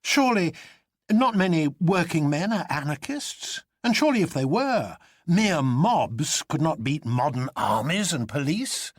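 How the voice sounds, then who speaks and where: An earnest gentleman detective, as a male narrator plays him in an audiobook, pitched up and swooping with disbelief. He speaks in articulate exclamations, with a slightly husky edge and a dry, close sound. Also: very animated, measured pace, no echo. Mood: incredulous. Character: Syme, earnest incredulous English gentleman detective, exaggeratedly cheerful.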